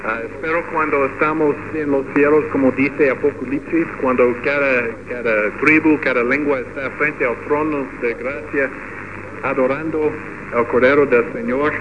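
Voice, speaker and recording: Man speaking halting, Spanish. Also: medium pitch, steady tone. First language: English. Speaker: radio pastor. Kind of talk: church ceremony speech. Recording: muffled with background noise.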